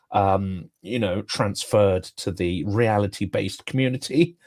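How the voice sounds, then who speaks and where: A man with a fairly low voice hosts a political talk stream, his sound close and dry with no room around it. He speaks in a wry, measured way, pausing on the odd um. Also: darkish tone, dry recording. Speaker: host.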